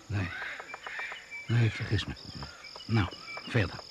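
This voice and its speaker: An actor playing an inquisitive, self-assured doctor in a Dutch radio drama, questioning briskly and with authority. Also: male voice, lowish voice, steady tone, noisy background. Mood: assured, watchful. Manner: probing, brisk and commanding.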